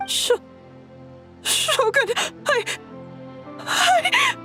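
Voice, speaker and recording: A very high, animated voice of a timid young townswoman in an anime game. She speaks slowly and shakily, with gasps and stammers, as music plays faintly behind her. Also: exaggerated voice. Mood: shaken, tearful. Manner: breathy gasps, stammering, drawn-out delivery. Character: frightened young townswoman, timid and easily shaken.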